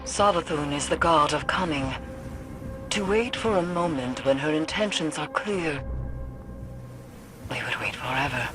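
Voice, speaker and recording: A woman voicing a grave, knowing scholar in video game dialogue, speaking solemnly and deliberately. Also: low-pitched, lively intonation. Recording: game audio over music.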